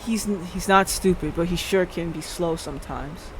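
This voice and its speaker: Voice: mid-range voice, moderate pace, a little hiss or hum, low-quality recording. A streamer reading a visual novel aloud and breaking off into playful, chatty asides to chat. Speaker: livestreamer. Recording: background music behind the voice. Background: music.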